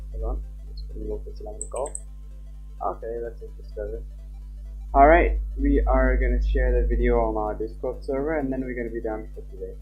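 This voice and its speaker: A man who is a native Nepali speaker, chatting informally on a livestream and half muttering to himself as he goes. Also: medium pitch. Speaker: live streamer. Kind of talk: casual livestream chat. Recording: dull lo-fi stream audio, music behind. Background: background music.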